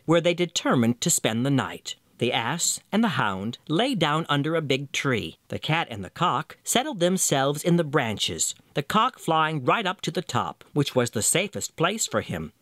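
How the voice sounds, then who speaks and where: Animated male fairy tale narrator. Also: mid-range voice, no room sound, studio quality. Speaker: storyteller, narrator.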